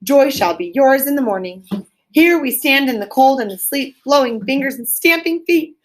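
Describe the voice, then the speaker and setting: A woman voicing a polite field mouse who leads little carol singers in a storybook, respectful and deliberate. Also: high voice, lively intonation, voice pushed up. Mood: festive reciting.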